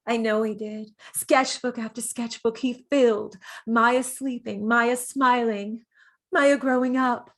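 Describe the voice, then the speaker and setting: Gentle, wistful woman acting a devoted mistress, higher-pitched. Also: fairly even intonation, slow pace. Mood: fond. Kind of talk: theatrical dramatic monologue.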